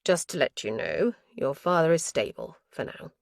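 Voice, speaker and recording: A woman's voice: sits low, sing-song, moderate pace, vocal fry, dry recording, clean studio sound, full character voice. A woman narrator voicing a hospital nurse who is firm but sympathetic and reassuring.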